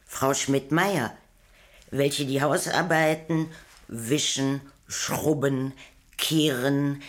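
A woman narrating a literary radio play in a deliberate storytelling manner. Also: sits low.

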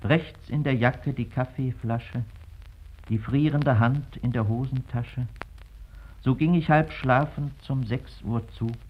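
A man recites a poem slowly and soberly. His delivery is measured and deliberate, and the recording sounds dull and muffled. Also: medium pitch, a little hiss or hum.

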